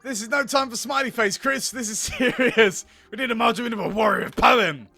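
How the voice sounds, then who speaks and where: Man voicing a dramatic overconfident loafer, very high bright voice, silly, visual novel comedy, music behind. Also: normal speed, gravelly, full character voice. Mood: mock serious.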